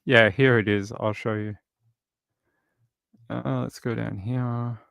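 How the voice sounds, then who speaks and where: Australian man, livestream host, casual rambling chat, smooth clear voice, very dry close sound. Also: medium pitch, steady tone, slow, a bit bright, no echo. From Sydney, Australia. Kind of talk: casual livestream chat, reading out viewer comments.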